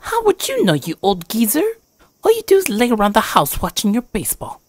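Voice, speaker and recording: A woman's voice: on the high side, huge pitch swings, brisk, no echo, clean studio sound, pitched above the performer's own voice. A woman voicing a bored, whiny fourteen-year-old boy in a scripted audio drama. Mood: cheeky.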